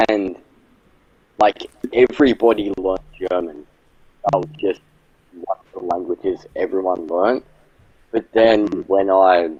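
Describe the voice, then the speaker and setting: A man's voice: low voice, a little mellow, slightly rough, no echo. A man chatting on a stream and rambling with many fillers, heard through a dull dry lo-fi mic. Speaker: guest on a stream. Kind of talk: casual chat.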